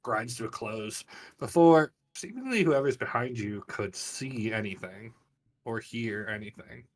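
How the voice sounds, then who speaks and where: A man's voice: medium pitch, animated, measured pace. A man runs a tabletop fantasy game as its narrator. He describes scenes richly and theatrically. The microphone sounds some distance from him. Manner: descriptive storytelling with dramatic detail. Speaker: game master. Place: live online game stream.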